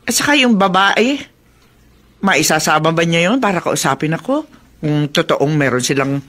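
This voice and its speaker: A woman's voice: sits low, expressive, normal speed, fairly bright tone. A woman playing a caring middle-aged mother who reasons with her child in a Filipino radio drama. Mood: earnest, reassuring.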